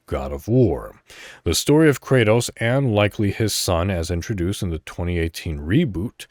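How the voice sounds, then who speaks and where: A man's voice: lowish voice, darkish tone, no room sound, studio quality. A man hosting a solo podcast, talking in an informal, conversational way with rambling asides and some reading aloud. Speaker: podcast host. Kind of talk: casual solo podcast commentary, partly reading articles aloud.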